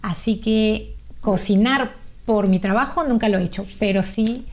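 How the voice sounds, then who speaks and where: A woman's voice: medium pitch, unhurried. A middle-aged Peruvian woman with a Peruvian Spanish accent, a guest chatting casually in an interview, with frequent fillers and restarts. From Peru.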